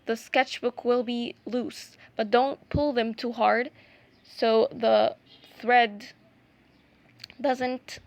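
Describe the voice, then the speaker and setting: A young woman whose first language is Arabic, talking through a craft tutorial in a hesitant, casual way with many pauses and restarts. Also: on the high side, fairly even intonation, vocal fry, no echo. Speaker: art vlogger.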